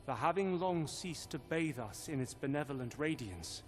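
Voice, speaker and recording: An actor playing a solemn man who recounts a sorrowful legend of faith in a video game, in grave, measured storytelling. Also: on the high side, expressive, faint music behind, lightly acted. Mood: sorrowful, reverent. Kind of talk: dramatic video game narration.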